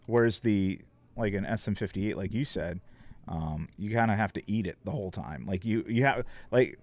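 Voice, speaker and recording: A man's voice: on the low side, expressive, normal speed, a little mellow. A man streamer chatting casually on a desk mic, rambling and swearing, with lots of fillers. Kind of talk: casual chat. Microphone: desk microphone.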